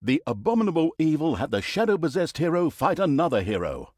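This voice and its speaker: An actor playing a sardonic, theatrical narrator of a villainous fantasy video game, telling it like a comic storybook with mock drama and wry asides. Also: male voice, a little high, very animated, a little fast, a little mellow, dry recording, studio quality. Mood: wry, dramatic.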